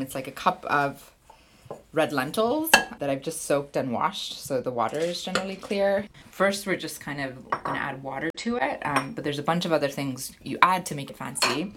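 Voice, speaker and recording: A middle-aged woman with a low voice speaks as a guest in a relaxed interview. Her talk is informal and explanatory, in the loose phrasing of casual conversation. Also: heavy background noise. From Canada, born in Sri Lanka. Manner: informal, explanatory, frequent 'like' hedging. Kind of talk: casual chat and cooking walkthrough.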